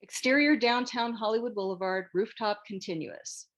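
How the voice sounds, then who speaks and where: A woman's voice: a little high, normal speed. A woman reading scripted screenplay narration, stage directions and scene headings as a narrator.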